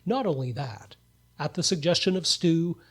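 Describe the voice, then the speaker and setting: A man with a slightly high, husky voice serves as narrator. He tells the story in a scripted, storybook style for a radio play. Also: expressive, normal speed.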